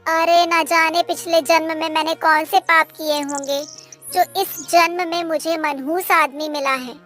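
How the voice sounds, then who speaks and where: High-pitched exasperated young village wife, played by a woman. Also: fairly even intonation, measured pace, fairly bright tone, faint music behind. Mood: lamenting. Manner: complaining, fed up. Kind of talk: Hindi moral-story cartoon dialogue.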